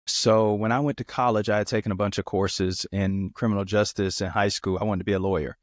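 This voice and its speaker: A man's voice: lowish voice, fairly quick, dry recording, clean studio sound. A man in his thirties from Florida, a preacher telling his life story as a guest in a casual video-call interview. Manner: relaxed storytelling, repeats himself.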